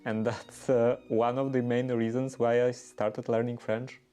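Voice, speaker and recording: A man's voice: mid-range voice, level tone, measured pace, dark tone, soft background music. A native Polish-speaking man with a Polish accent, chatting casually on a livestream and thinking aloud in English with many fillers and restarts. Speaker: livestream host. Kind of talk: casual livestream chat, answering viewers.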